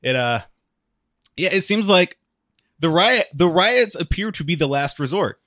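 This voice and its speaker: A man's voice: high-pitched, lively intonation, measured pace, pushed and bright, no room sound, studio quality. A man co-hosting a casual podcast chat, talking loosely and laughing often as he restarts his sentences. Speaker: podcast co-host.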